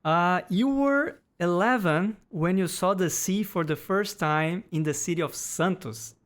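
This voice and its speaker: A woman's voice: lowish voice, unhurried. A woman interviewer who prompts her guest with brief, direct questions.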